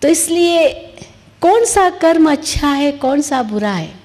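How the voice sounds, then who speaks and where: Slow woman preaching in an echoing hall. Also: high voice, expressive, a little mellow. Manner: didactic, asks rhetorical questions and answers them, repeats phrases for emphasis. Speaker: spiritual teacher giving a discourse. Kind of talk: spiritual discourse with everyday analogies and parables. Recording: long reverberant hall echo.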